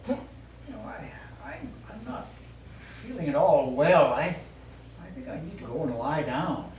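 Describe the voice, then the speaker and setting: An actor in a live stage play as a doting, anxious husband, earnest and easily exasperated. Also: male voice, fairly high pitch, big pitch swings, unhurried. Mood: weary, tender. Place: small theatre studio, distant microphone. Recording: echoey, noisy stage recording from far off.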